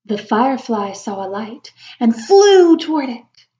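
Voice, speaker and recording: Female storyteller for young kids, high voice, expressive and wondering, chatty asides, slightly muffled lo-fi sound. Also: unhurried. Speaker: read-aloud storyteller. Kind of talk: children's picture book read-aloud with chatty asides. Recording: dull lo-fi recording.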